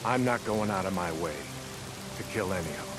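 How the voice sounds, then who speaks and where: An older American man playing a weary, peace-minded leader of a survivor camp in a game cutscene, speaking plainly and haltingly. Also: low voice, music in the background, natural voice. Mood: earnest, firm. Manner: halting, reflective, plain-spoken. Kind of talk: dramatic video game cutscene acting.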